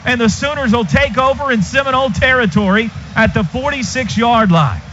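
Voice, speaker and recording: An American man doing live football play-by-play for radio, in an excitable, clipped running call of each play. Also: very high voice, fairly quick. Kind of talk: live sports commentary. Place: stadium radio booth. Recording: noisy, dull stadium radio broadcast.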